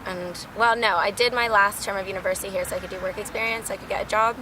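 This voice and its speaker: A woman from Baltimore, a drama teacher, chatting in a street interview in a thoughtful, hedging way with soft endings. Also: mid-range voice, steady tone, quick pace. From Baltimore, USA. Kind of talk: casual street interview chat. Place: outdoors on a busy city street. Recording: street traffic noise behind.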